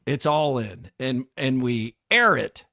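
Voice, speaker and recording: A man's voice: medium pitch, big pitch swings, takes it slowly, pushed and bright, no room sound, clean studio sound. A middle-aged man co-hosting a casual talk show, telling stories and acting out quotes. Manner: storytelling, acts out quotes. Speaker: co-host. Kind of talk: casual chat.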